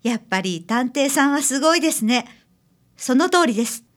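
A woman's voice: high-pitched, lively intonation, brisk. A woman in a radio drama playing a polite, wistful young bride-to-be. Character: polite, wistful young bride-to-be, once a lonely transfer student. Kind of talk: scripted radio drama acting.

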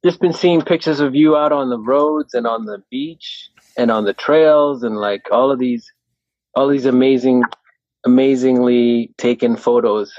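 A man's voice: medium pitch, unhurried, darkish tone, dry recording, highs cut off. A young man from Kenya, a running coach, talking casually on a video call with an easygoing, rambling manner.

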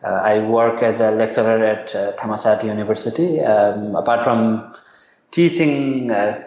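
A man's voice: lowish voice, fairly even intonation, measured pace, darkish tone, reverberant, muffled recording. A man from Nepal, a university lecturer, answering interview questions hesitantly with many fillers.

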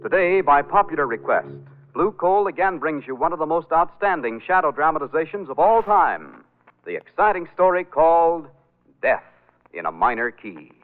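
Dramatic, animated radio announcer, male. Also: a little high, normal speed, faint music behind. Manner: dramatic, emphatic, formal. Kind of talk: 1940s radio announcing, scripted. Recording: tinny vintage radio broadcast.

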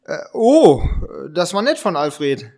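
Ein Mann spielt einen hoch klingenden, aufgeregten Schriftsteller. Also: male voice, high-pitched, sing-song, darkish tone, heavily acted. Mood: flustered. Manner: halting, stumbling over lines. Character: polite, agitated male writer robbed of his parrot. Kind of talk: amateur German detective radio play.

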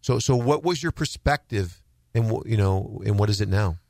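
Earnest low-voiced male radio host. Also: lively intonation, normal speed, dark tone, dry recording, studio quality. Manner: earnest, emphatic, repeats words for stress. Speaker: radio talk show host.

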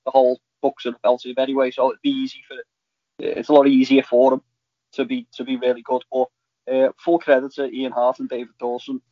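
A man in casual, halting podcast chat about TV, rambling as a co-host. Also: medium pitch, monotone, dry recording. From Liverpool, England. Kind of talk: casual chat reviewing TV shows. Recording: slightly dull, lo-fi audio.

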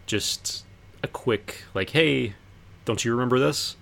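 A man's voice: mid-range voice, slow pace, gravelly, a bit bright. A man co-hosting a podcast, talking casually and conversationally. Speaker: podcast co-host. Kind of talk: casual chat about anime.

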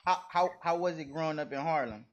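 A man's voice: a little high, fast, a little hiss or hum. A man hosting a podcast, talking casually with loose slang and a playful edge. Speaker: podcast host.

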